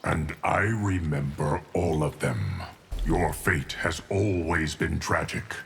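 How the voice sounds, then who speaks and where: A very gravelly, low-pitched immortal guardian for a video game. He is stern and formal, weighing each phrase slowly and solemnly. There is a faint noise behind him. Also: expressive, full character voice. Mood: solemn, courteous. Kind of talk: video game character dialogue.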